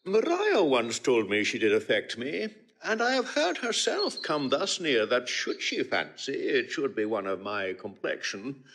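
A man's voice: mid-range voice, a bit bright, heavily acted. A man playing a pompous, self-besotted household steward in a classical Shakespeare audio drama, lingering theatrically over his words. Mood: dreamy, self-satisfied.

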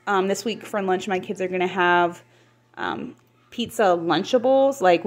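A woman, chatting casually through a grocery haul in a relaxed, friendly way with lots of ums. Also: medium pitch. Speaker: vlogger showing a grocery haul. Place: home kitchen table indoors. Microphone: phone camera pointed at table.

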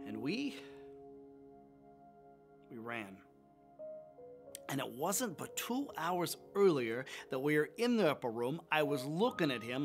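A man playing a remorseful, impulsive fisherman disciple in a first-person dramatic monologue, confessing with rising emotion. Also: a little high. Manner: confessional, swings from wry to anguished outbursts. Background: instrumental music.

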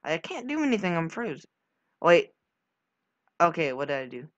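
Young male gaming host, animated and joking, casual banter, close dry mic with slightly dull sound. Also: fairly high pitch, normal speed, no room sound. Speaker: gaming video host and player.

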